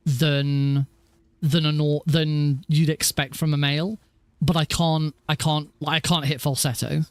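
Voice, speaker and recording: A man with a naturally high voice, rambling casually and self-deprecatingly as he hosts a gaming livestream. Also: no room sound. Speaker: livestreaming speedrunner and host. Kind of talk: casual livestream chat over gameplay.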